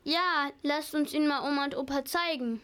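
A female amateur acting as a defiant child who loves animals, in a radio play. The voice is high. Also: moderate pace, fairly bright tone. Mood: eager. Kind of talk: amateur audio drama acting.

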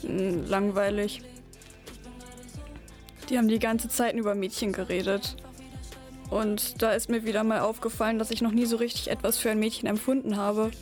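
A young woman voicing a shy sixteen-year-old schoolboy in a school radio play, talking hesitantly with pauses. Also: mid-range voice, level tone, darkish tone, big-room sound, soft background music, natural voice.

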